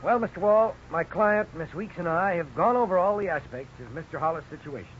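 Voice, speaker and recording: A courteous, formal attorney in a vintage 1950s radio play. He speaks in a measured way with a fairly high, very expressive voice, on a muffled recording. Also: over music. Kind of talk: 1950s radio drama acting.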